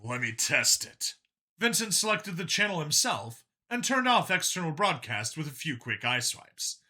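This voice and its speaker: A man narrates a story in a very bright, forceful voice, with lively pitch movement. He reads scripted prose dramatically, as an audiobook narrator. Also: moderate pace.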